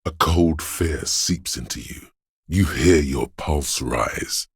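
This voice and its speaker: Super deep husky paranoid inner voice for a video game, slow n ominous, like raw fear and menace talking. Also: male voice, no echo, studio quality, heavily acted. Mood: ominous, hushed. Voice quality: gravelly, husky, breathy. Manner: slow, ominous, intense delivery. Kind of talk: dramatic video game voice acting.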